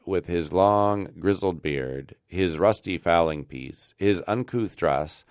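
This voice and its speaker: Deep-voiced American man narrating a classic tale. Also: no room sound, clean studio sound. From United States. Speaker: storyteller.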